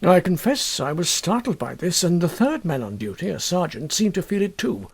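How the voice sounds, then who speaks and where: A male audiobook narrator reads with measured, deliberate expression. His voice is fairly high for a man and moves widely in pitch. It has a gravelly, creaky grain. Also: sing-song. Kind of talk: expressive scripted first-person story narration.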